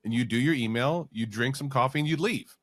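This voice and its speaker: A man hosting a podcast, chatting casually and talkatively as he interviews a guest, with compliments and gentle teasing. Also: mid-range voice, brisk, no room sound. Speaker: podcast host.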